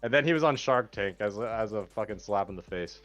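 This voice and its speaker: Low-voiced man rambling, faint music. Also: expressive, fairly quick, a bit bright. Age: late 20s. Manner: rambling, profane storytelling. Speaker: stream co-host. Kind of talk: casual stream chat.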